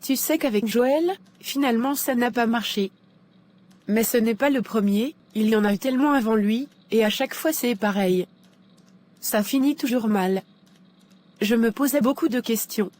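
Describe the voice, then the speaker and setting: Higher-pitched confiding young woman, acted by a woman. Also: moderate pace, darkish tone, natural voice. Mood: troubled, confiding. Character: troubled young single woman seeking advice, polite.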